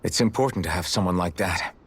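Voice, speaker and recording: An actor playing a supportive, plain-spoken young hero in a fantasy video game, sincere and reassuring. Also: male voice, low-pitched, quick pace. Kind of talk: video game cutscene acting.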